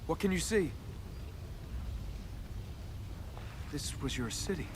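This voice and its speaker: Wisecracking game hero, young roguish male actor, slightly high for a man, sarcastic and offhand, under very loud background noise. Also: animated, brisk, natural voice. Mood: firm, urging. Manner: wry, flippant, casual. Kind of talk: video game voice acting. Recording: noisy game capture, loud effects behind.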